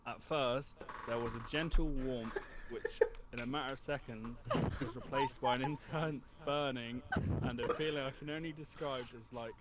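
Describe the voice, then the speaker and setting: A man's voice: medium pitch, fairly even intonation, moderate pace, few pauses. A man reading a humorous written review aloud as a storyteller. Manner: often breaking into laughter. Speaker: host. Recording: very noisy mic with clicks.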